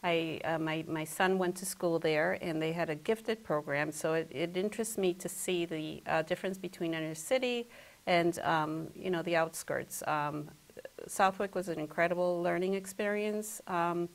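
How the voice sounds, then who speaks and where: A woman in her fifties, born in Ecuador and raised in Massachusetts, answering interview questions in a halting, conversational way. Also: lowish voice. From born in Ecuador, raised in Massachusetts from age eight. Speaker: school principal, interview guest.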